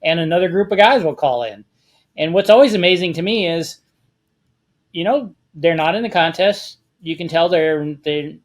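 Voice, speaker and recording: Guy with a highish voice just chatting thru tips on a hobby panel, explaining stuff casually. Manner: conversational, giving practical advice. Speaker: panelist on a ham radio discussion show.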